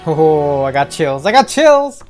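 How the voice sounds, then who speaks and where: Male reaction host, slow-paced yet animated intonation, informal slangy asides, loud music behind. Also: fairly high pitch. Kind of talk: casual reaction commentary.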